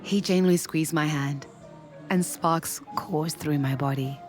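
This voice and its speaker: A frank, smitten woman in her late twenties from Zimbabwe in an audio drama. Her voice sits low, and she speaks in a confiding, playful way. Soft music runs faintly underneath. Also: measured pace. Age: 20s. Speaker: lead actor and first-person narrator. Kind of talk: audio drama narration and dialogue.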